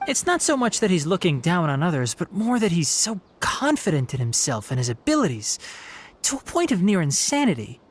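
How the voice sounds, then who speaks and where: A man's voice: high-pitched, big pitch swings, a little fast, a little hiss or hum. A young man playing a stern, principled scholar and healer in a video game, formal and blunt. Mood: reflective distaste. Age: young adult. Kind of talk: video game character acting.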